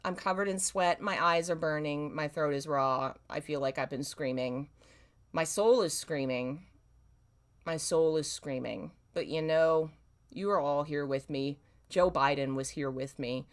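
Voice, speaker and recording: A woman's voice: deep voice, unhurried. A woman hosting a casual livestream, talking in a self-deprecating, dramatically exasperated way. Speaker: livestream host. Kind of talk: casual livestream chat, reading own old fan fiction.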